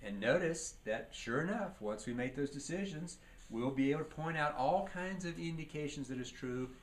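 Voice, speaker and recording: A man's voice: a little high, expressive, moderate pace. A man giving a leadership training lecture, teaching by posing questions and answering them, with emphasis on key words. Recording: clearly noisy recording.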